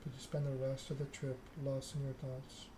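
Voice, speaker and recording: Noisy bg, guy doing soft asmr game stream, pretty flat pitch, casual. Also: moderate pace. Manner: reads narrative text aloud, chats with viewers.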